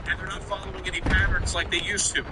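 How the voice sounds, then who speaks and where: A man's voice: high-pitched, little pitch movement, fast. A man giving opinionated live gaming commentary in an emphatic, repetitive ranting way, like a streamer. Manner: emphatic, repetitive, swearing rant. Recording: loud gunfire and game noise behind. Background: shooter game gunfire, vehicles, announcer voices.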